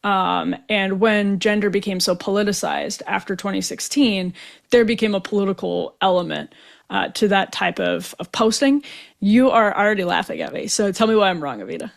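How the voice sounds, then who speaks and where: A woman's voice: medium pitch, moderate pace, gravelly. A young woman hosting a political commentary show, talking casually with many fillers and restarts. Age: young adult. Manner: conversational, with frequent fillers and restarts. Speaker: host.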